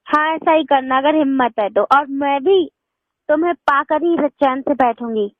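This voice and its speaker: A woman's voice: sits high, moderate pace, no room sound. A woman in an emotional phone conversation, insistent, defiant and pleading. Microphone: telephone. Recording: muffled lo-fi phone call recording.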